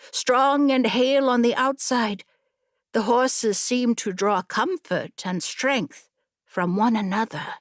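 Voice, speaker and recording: A woman's voice: fairly high pitch, no echo, clean studio sound. A woman narrating scripted fiction as a dramatic audiobook reader.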